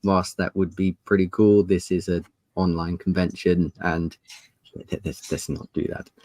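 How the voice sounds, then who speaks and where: A man's voice: low voice, steady tone, unhurried, a little mellow, no echo. A man hosting an online panel as game master, introducing it in a casual style.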